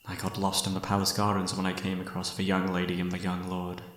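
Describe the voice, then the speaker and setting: A man acting as young male knight, lowish voice, courtly, dutiful, teasing childhood friend, romantic fantasy ASMR roleplay. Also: monotone, fast. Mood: tender, wistful. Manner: formal, courtly, playfully teasing, soft-spoken sighs.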